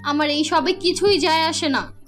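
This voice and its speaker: A woman's voice: sits high, moderate pace, fairly bright tone, faint music behind. A woman voicing a spoiled, greedy young sister in a cartoon fairy tale, curt, demanding and dismissive.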